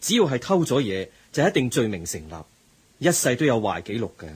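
A man's voice: medium pitch, big pitch swings, fairly quick, husky. A man with a Hong Kong Cantonese accent playing a teacher in a radio drama, earnestly lecturing a student. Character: patient middle-aged teacher, moralizing and kind.

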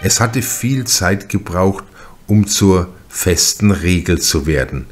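A man reading a crime novel aloud as a narrator, in a measured and deliberate storytelling manner. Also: deep voice, lively intonation, husky. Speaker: audiobook narrator.

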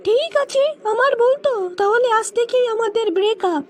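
A performer voicing a shrill, nagging wife in a cartoon joke skit, scolding and insistent. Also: moderate pace, darkish tone, a little hiss or hum. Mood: irritated.

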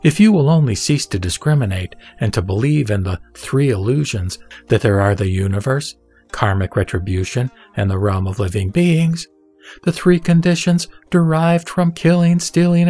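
A man gives a scripted, dramatic reading of scripture in a measured, solemn, instructive manner. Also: a little high, darkish tone. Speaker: narrator and reader of scripture. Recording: background music behind the voice.

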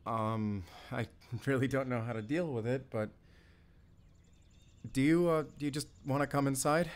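A man playing an anxious, tender father and lover in an audio roleplay, speaking haltingly with sighs. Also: mid-range voice, lightly acted. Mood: shaken, tender. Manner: halting, sighing, soft-hearted.

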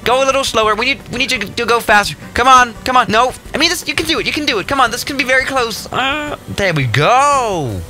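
A man's voice: very high-pitched, animated, fairly quick, hardly any pauses, pushed and bright. A young adult man giving casual, jokey gameplay commentary with a sarcastic, mock-exasperated manner. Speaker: gaming video host.

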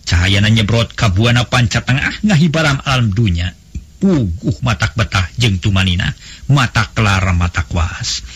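A man with a Sundanese accent narrating a traditional folk tale in a melodic, unhurried storytelling cadence. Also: fairly low pitch, animated, a bit bright, slightly rough. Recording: faint background music.

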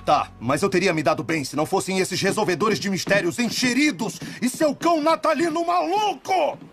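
A bitter, scheming Brazilian cartoon villain. His voice is sneering and rather high, with faint music underneath. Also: expressive, normal speed, fairly bright tone. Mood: frustrated. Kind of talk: animated cartoon dubbing.

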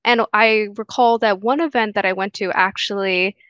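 A woman's voice: mid-range voice, steady tone, moderate pace, a bit bright, clear, resonant tone, no echo. A young woman from Guyana, a guest on a video call, answering earnestly with many fillers and restarts. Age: young adult. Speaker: interview guest. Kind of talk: casual interview answers. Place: small room indoors. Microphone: headset on video call. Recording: dull lo-fi video call audio.

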